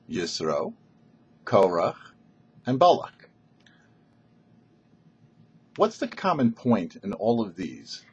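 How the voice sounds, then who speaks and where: A man's voice: on the low side, a little mellow, muffled recording, a little hiss or hum. A man speaking as a rabbi and show host, giving a short scripted religious teaching in a didactic way with rhetorical questions. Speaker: rabbi, host of a weekly Torah portion show.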